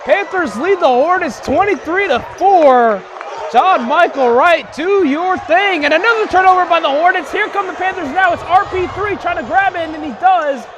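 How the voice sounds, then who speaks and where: A young man giving excited, projected live radio play-by-play of a basketball game. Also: extremely high pitch, moderate pace, highs cut off. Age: young adult. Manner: excited, projected, often shouting calls. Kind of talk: live radio sports commentary. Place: courtside in a basketball arena. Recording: loud crowd and gym noise behind. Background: crowd, bouncing ball, gym noise.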